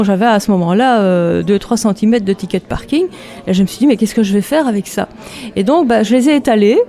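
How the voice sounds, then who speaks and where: A woman from Belgium, a textile artist chatting relaxed in an interview, laughing often between hesitant fillers. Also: mid-range voice. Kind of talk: casual interview.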